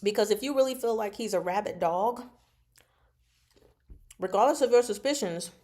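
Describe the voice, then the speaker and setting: Expressive woman in casual book chat. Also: medium pitch, moderate pace. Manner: expressive, slangy, teasing, emphatic. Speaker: host of a book discussion livestream. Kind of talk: casual chatty book commentary with live chat.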